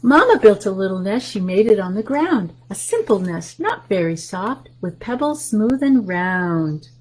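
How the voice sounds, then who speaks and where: A woman reads a rhyming picture book to young children at a library story time, stopping to talk about each picture. Her voice is gentle and a little breathy, with a middling pitch. She often sinks into a soft whisper. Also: big pitch swings, measured pace, rough recording.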